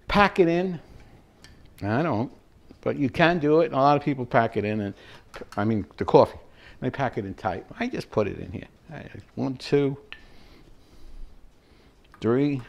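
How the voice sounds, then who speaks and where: A man's voice: medium pitch, darkish tone. An older man hosting a home cooking show, chatting casually as he demonstrates in his kitchen, rambling with fillers and chuckles. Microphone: fixed camera at mid distance.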